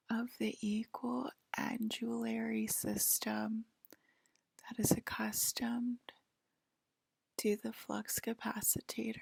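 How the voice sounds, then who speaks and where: A woman whispering softly and soothingly in an improvised ASMR sci-fi roleplay, playing a calm alien abductor tending to a patient. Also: fairly high pitch, no echo. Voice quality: breathy whisper. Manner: soft, slow, soothing. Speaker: ASMR performer. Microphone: close microphone, dry.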